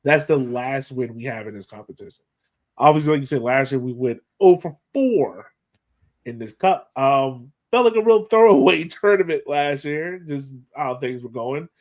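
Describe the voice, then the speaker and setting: A man co-hosts a casual sports podcast in an ordinary mid-pitched voice. He talks in a loose, conversational way, playful and folksy as he runs the chat. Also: expressive, moderate pace.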